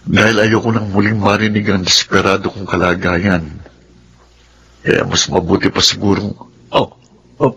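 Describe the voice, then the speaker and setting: Dante, a doomed patient. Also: male voice, sits low, little pitch movement, moderate pace, long pauses, a crowd in the background. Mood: pained. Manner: pained, desperate, pleading. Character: Dante, despairing terminally ill man. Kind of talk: radio drama acting.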